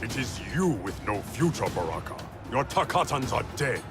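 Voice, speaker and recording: An actor playing a proud warrior emperor who speaks in a grave, commanding way. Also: male voice, medium pitch, big pitch swings, normal speed, close to an everyday voice. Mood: threatening. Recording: game cutscene with music.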